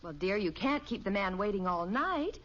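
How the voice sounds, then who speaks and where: An actress playing a calm, matter-of-fact wife and mother in an old radio comedy, soothing her husband. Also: medium pitch, moderate pace, soft background music. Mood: reassuring. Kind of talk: 1950s radio comedy acting. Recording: dull old radio recording.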